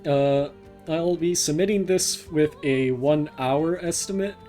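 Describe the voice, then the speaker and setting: Male streamer, casual step-by-step gameplay commentary, unhurried pace, faint game music behind. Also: fairly high pitch, dark tone. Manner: explains each step as they play. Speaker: speedrunner, live streamer.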